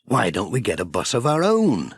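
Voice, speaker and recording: A man's voice: mid-range voice, wildly swooping pitch, normal speed, breathy edge, no echo, studio quality, full character voice. A man reading a children's storybook, voicing a friendly, eager village postman with a chirpy, practical manner. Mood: bright, eager. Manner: chirpy, practical, full of ideas.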